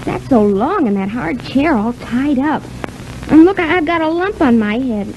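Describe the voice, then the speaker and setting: A woman's voice: sits high, expressive, normal speed, darkish tone. An actress playing a plucky, naive young girl in an old-time radio serial, earnest and chatty. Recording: muffled, noisy old radio recording.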